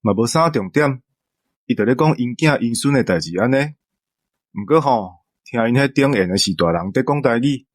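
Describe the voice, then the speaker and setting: A man's voice: medium pitch, animated, moderate pace, no echo, clean studio sound. A young man with a Taiwanese accent chatting casually and conversationally as a co-host.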